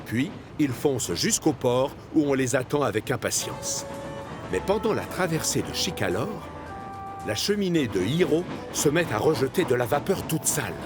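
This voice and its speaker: A man narrating a children's cartoon in a lively, expressive storytelling manner. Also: medium pitch, normal speed. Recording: narration over music.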